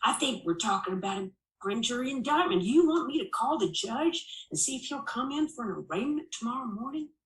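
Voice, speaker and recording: Woman doing an excitable small-town deputy, echoey room. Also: extremely high pitch, a little fast, hardly any pauses, recorded from a distance, voice pushed up, exaggerated voice. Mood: eager. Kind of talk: audiobook character dialogue.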